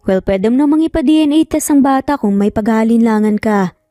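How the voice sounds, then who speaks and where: A woman voicing a calm, protective young man, a loyal friend who talks gently and in measured phrases in an audio story. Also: on the high side, no echo, clean studio sound, soft background music, close to an everyday voice. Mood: disbelieving.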